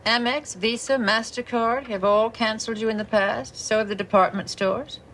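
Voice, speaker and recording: An actress playing a poised, coolly firm credit company official with measured, formal speech in a television drama. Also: female voice, on the high side, fairly even intonation, a bit bright, slight background noise, rough recording. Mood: cool, businesslike. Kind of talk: naturalistic television drama acting.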